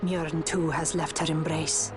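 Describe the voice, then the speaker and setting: Slow, stern forest-dwelling elder sister. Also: female voice, low voice, flat delivery, music in the background. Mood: haughty, stern. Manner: measured, formal, archaic phrasing. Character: stern, aloof female forest-dwelling Viera village leader. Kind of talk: video game voice acting.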